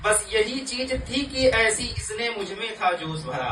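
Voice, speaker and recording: A man with a high, bright voice declaims poetry at a slow pace, leaning on emphatic pauses. The delivery is dramatic and motivational, picked up from a distance in an echoey space. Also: expressive. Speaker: poetry reciter. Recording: echoey, distant mic, faint background noise.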